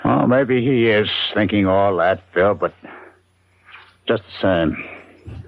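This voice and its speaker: An American actor playing a doubting, uneasy friend in a 1970s radio drama, plainspoken and questioning. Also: male voice, on the low side, takes it slowly, gravelly, no echo. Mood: skeptical. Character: uneasy, doubting male friend with some conscience. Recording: dull phone-like old radio broadcast.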